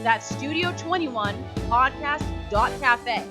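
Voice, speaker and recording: A woman announces a commercial in a bright, salesy read. Her voice sits high, and clear music plays beneath her the whole time. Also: animated, slow pace. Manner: upbeat, promotional. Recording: voice over background music.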